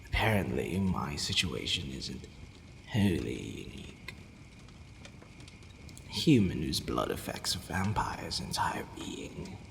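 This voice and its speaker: A man acting a haughty but softening vampire lord in an ASMR audio roleplay, brooding, formal and given to hesitant sighing. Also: very deep voice, very animated, slow, vocal fry, pauses often, full character voice. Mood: pensive. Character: possessive male vampire lord, haughty yet secretly softening. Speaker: roleplay voice actor. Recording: long reverb, faint background noise.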